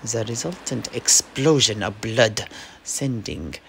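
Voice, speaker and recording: A man playing a mercenary knight, telling a sea adventure in a halting, theatrical way for a fantasy audio play. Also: on the low side, vocal fry, a bit bright, slight background noise, full character voice. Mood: dramatic storytelling.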